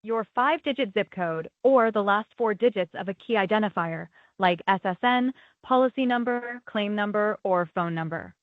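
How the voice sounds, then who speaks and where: A woman's voice: mid-range voice, normal speed, hardly any pauses, no echo. Phone-like female automated claims agent. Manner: polite, procedural. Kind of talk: scripted customer service dialogue. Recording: narrow tinny phone-like sound.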